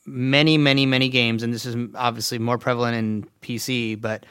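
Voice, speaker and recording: A man's voice: mid-range voice, flat delivery, fairly quick, a bit bright, smooth, dry recording, clean studio sound. A man appearing as a guest on a casual podcast, talking in a hesitant way and searching for words. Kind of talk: casual chat.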